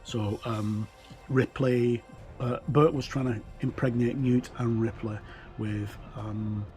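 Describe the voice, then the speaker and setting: A man's voice: medium pitch, steady tone, mellow, soft background music. A middle-aged man giving a casual walkthrough commentary on a book, hesitant and often correcting himself. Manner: hesitant, halting, self-correcting. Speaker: game reviewer.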